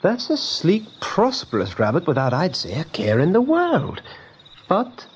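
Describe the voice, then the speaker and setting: A man playing a nervy, wondering young rabbit in an eighties radio drama, speaking expressively and excitably. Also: high-pitched, unhurried, full character voice. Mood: astonished, anxious. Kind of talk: 1980s radio drama acting. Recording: dull, faintly noisy old radio tape.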